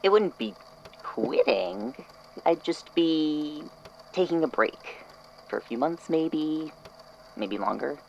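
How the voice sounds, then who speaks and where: An actor playing an earnest, tentative, loyal coworker in an audio drama, with hesitant, halting phrasing. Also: medium pitch, animated, vocal fry, darkish tone, dull audio, slight background noise. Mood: apologetic, nervous. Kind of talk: fan audio drama acting.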